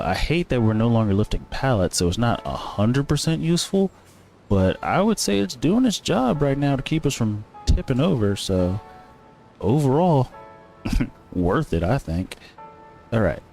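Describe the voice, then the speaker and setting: A man's voice: mid-range voice, sing-song, moderate pace, darkish tone, faint music behind. A man giving casual, rambling commentary as he plays a game, thinking aloud and correcting himself. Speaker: gaming streamer.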